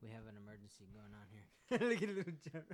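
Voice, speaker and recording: A man's voice: lowish voice, big pitch swings, quick pace. A man co-hosting a podcast in a casual chat, joking and talking in laid-back slang. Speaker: podcast co-host.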